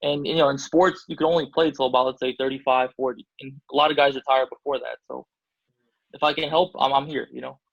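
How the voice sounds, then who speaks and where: A man's voice: mid-range voice, steady tone, quick pace, creaky, dry recording, dull audio. A man from Albania, a basketball agent, answering interview questions casually with many fillers and restarts.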